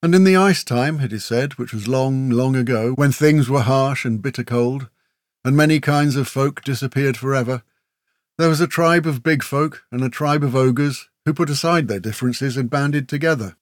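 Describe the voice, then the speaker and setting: A man's voice: mid-range voice, normal speed, dry recording, clean studio sound, pitched above the performer's own voice, close to an everyday voice. A man voicing a chatty, folksy warrior with a breezy, teasing humour in a fantasy audiobook. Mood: storytelling. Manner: folksy, matey, talkative, with tag questions. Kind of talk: fantasy audiobook character dialogue.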